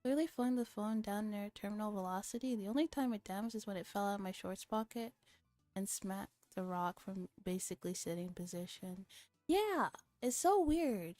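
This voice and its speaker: A woman's voice: mid-range voice, animated, moderate pace, darkish tone, no echo, faint music behind. A woman streamer chatting casually and playfully, often giggly, between bits of reading a visual novel aloud. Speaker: VTuber streamer, host.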